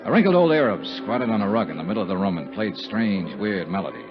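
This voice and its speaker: Tough sardonic private investigator, old radio noir, animated delivery, music under it, muffled vintage sound. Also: male voice, on the low side, fast talker. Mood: tense narration. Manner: clipped, hard-boiled, sardonic. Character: tough, wry, impatient American insurance investigator. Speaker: lead detective and narrator. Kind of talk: 1950s radio noir acting and narration. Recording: dull vintage 1950s radio recording.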